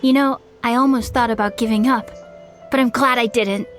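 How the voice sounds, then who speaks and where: A woman voicing an earnest young warrior in training in a game, lively and quick to surprise. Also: on the high side, over music, natural voice.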